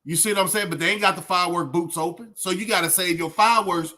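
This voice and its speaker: A man's voice: high-pitched, fast talker, bright, forceful tone. A man with a Southern US accent giving casual reaction commentary in a colloquial, emphatic way, full of rhetorical questions. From southern United States. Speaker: YouTube reaction host.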